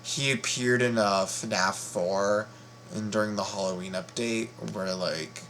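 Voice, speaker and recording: A man giving casual, excitable fan commentary in a halting, repetitive way, full of exclamations. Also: on the low side, slight background noise, low-quality recording. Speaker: YouTube reactor and fan commentator.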